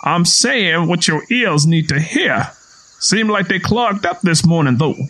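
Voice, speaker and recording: Guy doing a defensive southern country father, high voice that jumps around, folksy, audio story. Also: expressive, unhurried, bright, forceful tone, heavily acted. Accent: American South.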